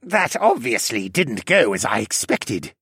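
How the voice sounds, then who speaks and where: A man's voice: mid-range voice, huge pitch swings, a little slow, fairly bright tone, breathy edge, no room sound, clean studio sound, heavily acted. A man voicing a cowardly, whining young male assassin sorcerer in a fantasy audiobook, whimpering and sulky. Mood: whiny.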